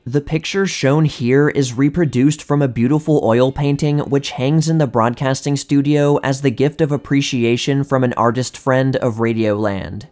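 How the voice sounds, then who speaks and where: A man reading vintage magazine articles aloud as a scripted narration, in a measured, precise manner. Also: medium pitch, fairly even intonation, darkish tone.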